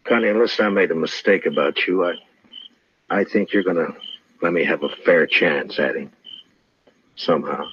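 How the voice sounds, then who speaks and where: An American actor playing a calm, watchful frontier marshal in an old-time radio western, speaking in a measured, probing way. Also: male voice, low voice, slightly rough, no room sound. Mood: grave, steady. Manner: measured, probing, gently persuasive. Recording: muffled, noisy old radio recording.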